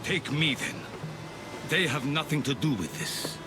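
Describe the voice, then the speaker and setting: An actor playing a solemn, self-sacrificing rebel in a video game. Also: male voice, on the high side, lively intonation, a little slow, music in the background. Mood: solemn, resolute. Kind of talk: video game cutscene acting.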